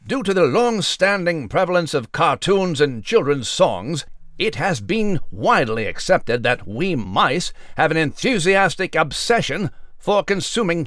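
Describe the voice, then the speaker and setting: An actor playing a pompous, scholarly little mouse delivering a formal, wordy mock news report in a children's audio drama. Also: male voice, a little high, a bit bright, no room sound, studio quality.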